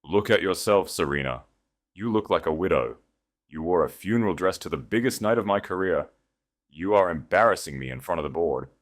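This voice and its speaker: A low-voiced, arrogant husband, acted out by a male narrator, obsessed with status. He speaks with contempt and gives orders as if everyone were beneath him. The delivery is vicious, in the style of a romance audio drama. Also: animated, fairly quick. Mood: angry, scornful. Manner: contemptuous, commanding.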